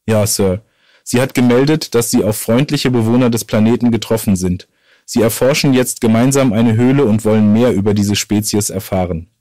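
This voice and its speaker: A dutiful starship crewman acted by a man reports in a children's audio story. His voice is low and mellow, formal and respectful, with a dry sound. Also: moderate pace, no room sound, lightly acted.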